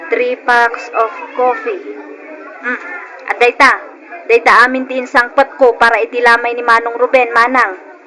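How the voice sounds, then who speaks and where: A high, pushy sister-in-law. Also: female voice, sharp tone. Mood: calculating. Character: pushy, grasping sister-in-law, outwardly sympathetic. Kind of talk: old-time Ilocano radio drama acting. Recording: noisy old radio drama recording.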